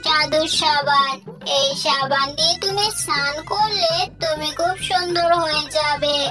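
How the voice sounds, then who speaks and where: A woman plays a kindly magical fairy from a cartoon tale. Her high voice is gentle, measured and reassuring, with music clearly heard behind it. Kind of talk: cartoon story voice acting.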